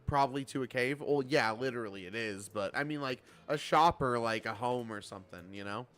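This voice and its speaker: A man's voice: mid-range voice, a little fast, a bit bright, faint music behind. A man of twenty-seven chatting casually on a game livestream, bantering playfully and swearing freely. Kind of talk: casual livestream chat while gaming.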